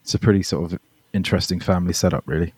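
A man born in Australia, a singer-songwriter guest, talking softly and a little hesitantly in a relaxed interview. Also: low voice, rapid-fire, husky, dry recording. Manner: soft-spoken, hesitant, fond. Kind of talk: casual podcast interview.

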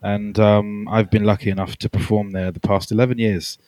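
Soft-spoken guy born in australia, lowish voice, a bit hesitant, dry close sound. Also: little pitch movement, fairly quick, dry recording. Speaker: singer-songwriter guest. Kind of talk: casual podcast interview.

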